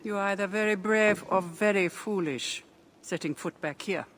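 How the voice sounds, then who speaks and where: Woman playing a sharp, sardonic authority figure. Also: mid-range voice, moderate pace, slight background noise, close to an everyday voice. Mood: cutting, sardonic. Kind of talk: dramatic video game acting.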